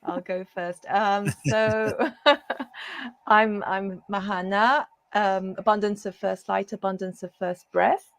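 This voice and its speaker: A woman from the UK introducing herself as an interview guest, talking casually in an earnest, halting manner. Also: medium pitch, fairly even intonation, dry recording. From born and raised in the UK. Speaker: guest, coach.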